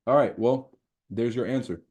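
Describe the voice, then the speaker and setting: A man's voice: mid-range voice, moderate pace, a little mellow, husky. A man from Lebanon, a native Arabic and French speaker, hosting a livestream in casual, slangy, boastful chat peppered with swearing. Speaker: trading livestream host. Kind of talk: casual chat with live viewers.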